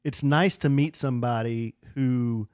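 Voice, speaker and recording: A man's voice: medium pitch, measured pace, smooth. A middle-aged man spins a casual yarn on a podcast, and his voice jumps around as he acts out what people said. He sits close to the mic in a dry, dead room with no echo. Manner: animated storyteller, acts out quoted dialogue. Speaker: podcast co-host. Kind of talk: casual storytelling chat.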